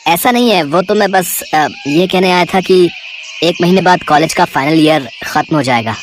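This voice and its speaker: A woman's voice: on the low side, moderate pace, a little hiss or hum, voice pushed down, close to an everyday voice. A woman playing a shy, smitten young male student in a story, humble and nervously stammering. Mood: nervous, bashful.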